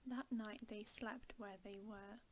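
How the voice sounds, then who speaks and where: A woman gives a hushed ASMR bedtime reading of a children's fantasy book. She goes very slowly and evenly, often dropping into a whisper. A steady hiss sits behind her voice. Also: medium pitch. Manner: soft, hushed, often whispering, slow and deliberate. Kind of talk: ASMR bedtime story reading, scripted narration.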